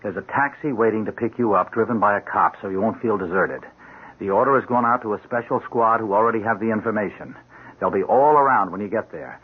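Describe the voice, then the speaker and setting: An actor in a radio drama playing a steady, protective policeman, brisk and reassuring in manner. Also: fairly low pitch, slightly rough, phone-quality sound. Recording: thin noisy radio drama recording.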